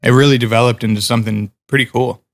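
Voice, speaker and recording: A man's voice: mid-range voice, fairly even intonation, fast talker, gravelly. A man in casual podcast chat who rambles with many "like" fillers. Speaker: podcast guest.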